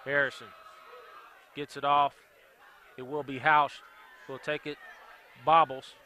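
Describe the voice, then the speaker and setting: A young man calling a high school football game play by play, upbeat and quick. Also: flat delivery, sharp tone, some chatter behind. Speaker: play-by-play announcer.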